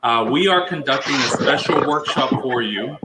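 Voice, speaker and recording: A man's voice: medium pitch, moderate pace, reverberant, heavy background noise. A man professor hosting an online college workshop, talking in a friendly way with some hesitant fillers. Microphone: video call.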